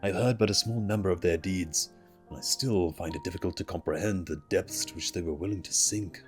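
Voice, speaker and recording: A man reads out a learned fantasy game scholar with a low voice. He is solemn and grave, speaking in formal, measured phrases over game music. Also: lightly acted. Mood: solemn, resolute. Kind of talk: reading fantasy game dialogue aloud.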